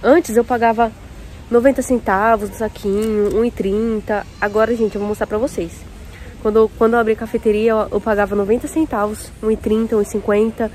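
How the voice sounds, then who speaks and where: A woman's voice: a little high, flat delivery, brisk, a little mellow. A young woman vlogging while shopping in a large supermarket, chatting to viewers and comparing prices aloud, filmed on a phone at arm's length. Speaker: vlogger, café owner. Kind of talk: casual shopping vlog. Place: large warehouse supermarket, indoors. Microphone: phone held at arm's length. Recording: noisy store ambience.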